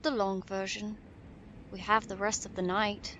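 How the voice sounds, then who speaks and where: A woman voicing a guarded, sorrowful female canine warrior in a fantasy audio story, speaking slowly and haltingly in a hushed voice. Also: medium pitch, little pitch movement, a little hiss or hum, voice pushed up, natural voice.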